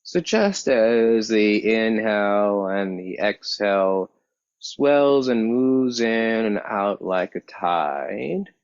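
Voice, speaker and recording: A man guiding a yoga meditation as a teacher, speaking calmly and in measured short phrases with pauses. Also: lowish voice, clear, resonant tone, no echo. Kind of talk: guided yoga meditation instruction. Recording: dull, muffled lo-fi sound.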